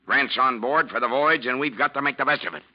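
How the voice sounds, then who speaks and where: An actor playing a troubled, defensive ship captain in a classic radio drama, speaking in a halting, strained way that can turn sternly commanding. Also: male voice, medium pitch, close to an everyday voice. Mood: firmly commanding. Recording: muffled vintage radio recording.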